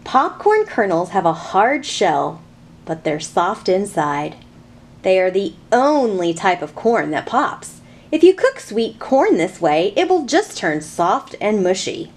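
A woman's voice: mid-range voice, big pitch swings, takes it slowly. A young woman hosting a children's storytime, explaining things simply in a sing-song way to small children.

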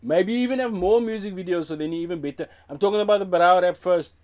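A man in his thirties with a Cape Town South African accent and a voice pitched a little high for a man. He talks bluntly and casually, mixing in Afrikaans phrases. He is recorded in a small room with an off-camera mic. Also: quick pace, darkish tone. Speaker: music reaction host. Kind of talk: casual reaction commentary. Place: small indoor room with a brick wall.

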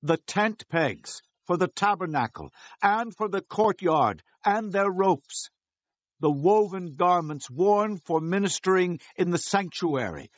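A solemn bible prophet leader, really slow and deliberate, commanding, very dry close recording. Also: male voice, sits high, no room sound, heavily acted. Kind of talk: dramatized audio Bible acting.

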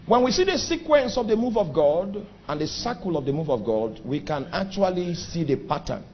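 A man with a Nigerian accent preaches in a middle-pitched voice that leaps up and drops low as he stresses his points, stretching out words for effect. The recording is dull and lo-fi, with a faint hiss underneath. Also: sing-song. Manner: emphatic preaching with sudden pitch and volume swings, drawn-out phrases. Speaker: pastor. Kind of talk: sermon.

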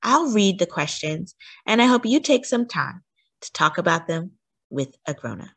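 A woman reading aloud to children as a storyteller, with an animated, expressive delivery that acts out the story. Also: on the low side, normal speed, breathy edge. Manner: animated, acting out sighs and cheers.

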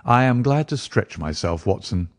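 A man voicing a composed, self-assured gentleman detective in an audiobook, with measured, formal phrasing. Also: medium pitch, animated, no echo, lightly acted. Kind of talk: audiobook character dialogue.

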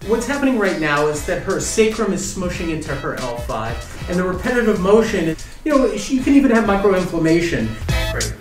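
A man's voice: high voice, animated, fairly quick, music in the background. Middle-aged male chiropractor in an echoey room. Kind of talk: explaining and questioning during a hands-on treatment. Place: small indoor treatment room, behind a face mask.

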